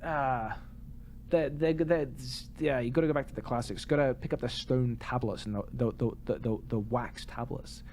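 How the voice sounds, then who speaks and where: A man's voice: medium pitch, moderate pace, darkish tone, a little hiss or hum. A man co-hosting a podcast in casual chat, with a jokey, wry way of talking. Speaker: podcast co-host.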